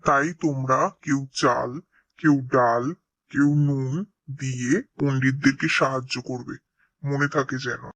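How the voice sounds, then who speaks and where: A man's voice: medium pitch, little pitch movement, measured pace, a bit bright, dry recording. A man voicing a village schoolmaster in a cartoon, formal and instructing in manner. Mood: announcing. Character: brisk village schoolmaster, a pandit. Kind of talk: Bengali cartoon voice acting.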